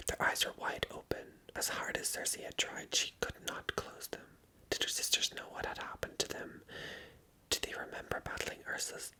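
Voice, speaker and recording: A person reading a story aloud as ASMR narration in a hushed, unvoiced whisper. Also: rough recording. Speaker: ASMR reader and channel host.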